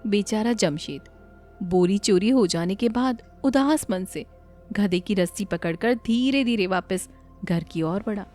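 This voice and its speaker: A woman's voice: mid-range voice, unhurried, a little mellow. A woman narrating a scripted moral story in a dramatic storytelling style. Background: faint music.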